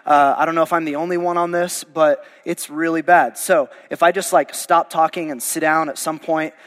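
A man's voice: a little high, fairly even intonation, normal speed, fairly bright tone, in a large hall. A male pastor, losing his voice, preaching a verse-by-verse sermon in a conversational, informal way. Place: church sanctuary.

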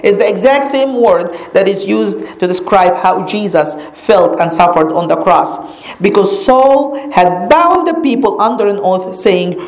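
A woman whose first language is Arabic, teaching a sermon on a Bible passage and stressing key phrases with slow, dramatic repetition. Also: mid-range voice, darkish tone. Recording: echoey room.

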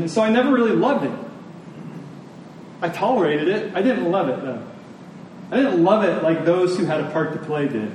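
Male pastor, slightly high voice, earnest conversational sermon delivery with emphatic pauses, in a very echoey room. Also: a little mellow. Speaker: pastor preaching. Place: large room, preaching to a congregation. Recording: echoey room, distant mic, faint noise.

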